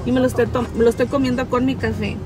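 Chatty higher-voiced woman. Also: steady tone, quick pace. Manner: chatty, addresses viewers as friends, switches between Spanish and English. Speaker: vlogger. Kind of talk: casual vlog chat to the camera. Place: home kitchen, then inside a car. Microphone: phone camera held at arm's length.

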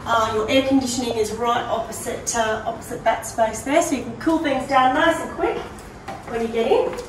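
Aussie woman showing round an apartment, chatty, higher voice, echoey and a bit far from the mic. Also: normal speed. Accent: Australian. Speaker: presenter of a prize home tour. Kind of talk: casual walk-through tour narration. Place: walking through hard-surfaced apartment rooms. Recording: roomy echo, distant mic, background noise.

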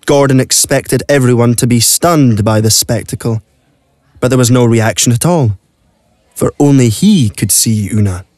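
Audio play narrator: man with middle pitch, scripted storytelling, close dry studio recording. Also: moderate pace, darkish tone, dry recording.

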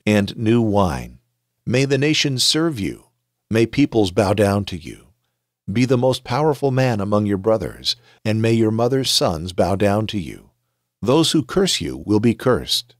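A gentle old blind father speaks in a low, dry voice, as a man reading scripture gives him his lines. His words come slowly and solemnly. The sound is close and without any room echo.

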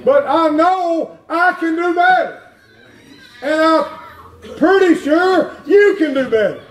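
A middle-aged man preaching a folksy revival sermon in a church, climbing into shouts. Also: extremely high pitch, takes it slowly, far from the mic, a little hiss or hum. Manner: folksy, plain-spoken, rises to shouting. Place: wood-paneled church sanctuary. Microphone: far room camera. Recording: echoey church heard from afar.

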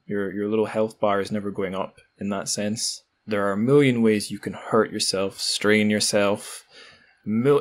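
Man, fairly low pitch, podcast host, conversational, reflective, musing. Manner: musing, poses rhetorical questions. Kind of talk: reflective conversational book discussion.

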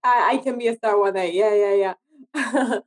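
Woman, halting conversational delivery. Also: medium pitch. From Argentina. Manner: halting, searching for words. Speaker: interview guest, actress. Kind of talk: conversational interview.

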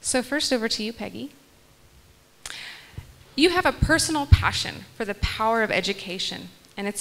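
A woman's voice: on the high side, a bit bright, poor audio. A young woman moderating a panel on a stage, reading guest introductions into a handheld mic and laughing off her small slips. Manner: reads bios, laughs lightly at her own slips. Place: large hall stage. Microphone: handheld microphone.

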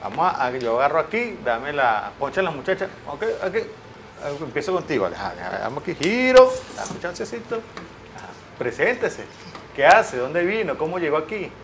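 A man in his forties with a Venezuelan Spanish accent, hosting a live morning TV show from a studio on a lapel mic, jokey and full of quick questions. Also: fairly high pitch, big pitch swings, a bit bright, slight background noise. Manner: jokey, scattered, asks many quick questions. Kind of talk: live TV interview.

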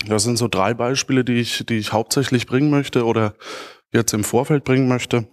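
A man's voice: mid-range voice, fairly even intonation, fairly quick. Man giving an informal conference talk. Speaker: conference speaker, podcast creator. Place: large auditorium stage.

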